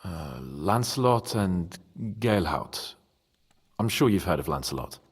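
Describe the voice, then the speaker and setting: A man playing an impulsive, expressive young medieval nobleman in a game. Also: low-pitched, slow, breathy edge, in a large hall, full character voice. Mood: earnest. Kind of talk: dramatic game cutscene acting.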